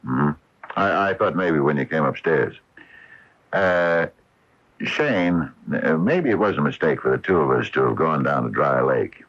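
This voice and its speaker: Uneasy small-town shopkeeper, radio mystery: very low, husky, hesitant. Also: male voice, lively intonation, a bit bright, heavily acted. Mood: uneasy, confiding. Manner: hesitant, halting, full of uhs. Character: uneasy small-town hardware store owner, once a young scientist. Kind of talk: 1980 radio drama acting. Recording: muffled vintage AM radio broadcast.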